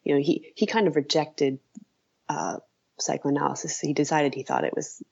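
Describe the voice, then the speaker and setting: A woman speaking as an interview guest, hesitant and halting as she thinks aloud in casual conversation. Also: sits low, fairly even intonation, creaky, a little mellow, husky, lo-fi sound. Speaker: interview guest, writer and author.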